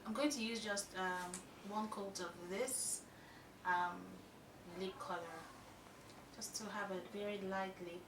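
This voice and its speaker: Nigerian woman vlogging, lively storytelling, bit of faint noise in the back. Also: mid-range voice, a little slow. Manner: animated, exclaiming, chatty storytelling. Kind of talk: storytime while doing makeup.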